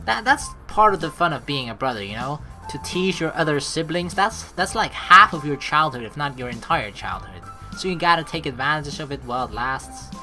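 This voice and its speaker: A woman with a low, bright voice gives animated gameplay commentary. She jokes and exclaims freely, with faint music behind her. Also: normal speed, hardly any pauses. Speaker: let's play host. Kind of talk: gameplay commentary mixed with reading game narration aloud. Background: game music.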